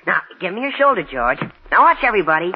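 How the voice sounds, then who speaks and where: A man's voice: high voice, very animated, fast. An actor playing an eager, plucky teenage boy who likes to show off, in 1940s radio drama style. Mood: excited. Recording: tinny, noisy old radio recording.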